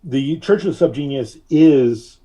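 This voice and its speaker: Male interview guest. Dark, slightly raspy voice, mid pitch, conversational and searching for words. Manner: halting, searching for words, thoughtful. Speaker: podcast guest, artist and reverend.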